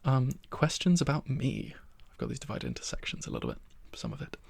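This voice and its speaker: A soft-spoken young man of twenty-one chatting ASMR-style from his bedroom, rambling and poking fun at himself. His voice is heavy with fry and breathy, sitting mid-range for a man. It is recorded close and bone dry. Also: medium pitch, huge pitch swings, fairly quick, no room sound. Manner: rambling, self-deprecating, with soft laughs. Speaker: ASMR creator.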